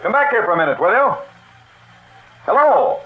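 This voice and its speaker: An actor with an American accent playing a brisk, reassuring army major in a radio drama. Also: male voice, sits high, very animated. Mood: calling out. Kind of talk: 1940s radio drama acting. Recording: noisy, tinny old radio recording.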